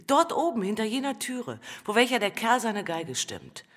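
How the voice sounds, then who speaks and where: Husky, mocking, streetwise flirt. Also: female voice, a little high, big pitch swings, normal speed. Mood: mocking, gossipy. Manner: teasing, gossipy. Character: flirtatious, mocking, streetwise woman after a ring. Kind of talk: theatrical German radio drama acting. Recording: long reverberant hall with music behind.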